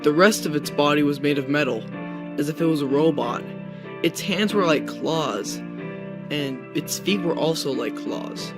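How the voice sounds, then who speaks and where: Higher-pitched man narrating over guitar music. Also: moderate pace. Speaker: storyteller. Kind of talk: scripted first-person horror story narration.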